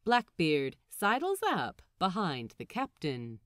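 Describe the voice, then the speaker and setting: A woman reading comic fan fiction aloud as an expressive, dramatic storyteller. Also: on the low side, takes it slowly, dry recording, studio quality. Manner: animated, dramatic delivery. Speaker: podfic narrator.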